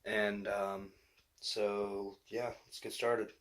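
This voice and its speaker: A young man talks in a low, flat voice, relaxed and rambling with lots of fillers. He sounds a bit sick. The home recording carries clear background noise. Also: very slow. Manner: laid-back, rambling, many fillers. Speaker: hunter making a skull mount. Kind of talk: casual DIY vlog. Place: indoors at home, bathroom.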